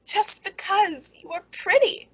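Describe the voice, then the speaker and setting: A high-voiced, bitter woman played by an actress broods through a radio suspense story. She speaks with pointed, deliberate menace, clever and quietly scornful of those around her. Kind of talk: old-time radio suspense acting.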